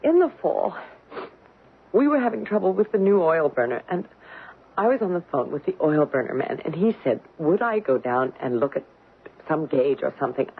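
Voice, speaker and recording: An actress playing a frightened, fretful housewife in a vintage radio drama, speaking in a nervous, halting way. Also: female voice, low-pitched, sing-song, slightly rough, full character voice. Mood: anxious. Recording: narrow-band old radio broadcast.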